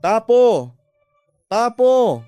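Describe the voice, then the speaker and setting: A man's voice: very high-pitched, big pitch swings, drawn-out words, mellow, smooth, dry recording, studio quality, pitched above the performer's own voice, heavily acted. A man voicing an earnest, pleading young male suitor in a radio drama. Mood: calling out. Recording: music bed under the voice.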